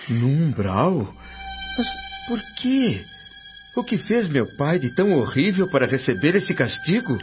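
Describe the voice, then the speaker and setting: A man's voice: fairly high pitch, sing-song, unhurried. A Brazilian man acting an emotional, earnest grown son in the spirit world, with formal, dramatic radio drama delivery. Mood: anxious, shocked. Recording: dull lo-fi audio under music.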